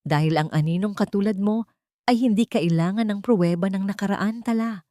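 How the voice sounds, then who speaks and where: A woman's voice: medium pitch, fairly quick, warm tone, dry recording, studio quality, pitched above the performer's own voice, lightly acted. A woman voicing a cruel, smug mistress in a drama story, cutting and dismissive. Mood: cold scorn. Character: scornful, cruel mistress, smug female villain.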